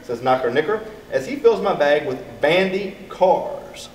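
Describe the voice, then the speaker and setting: Middle-aged man, lively swooping storybook delivery, highish pitch, echoing distant recording. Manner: expressive, deliberate, addressing children. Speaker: elementary school staff member reading to children. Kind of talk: animated children's storybook read-aloud. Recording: echoey, distant mic with background noise.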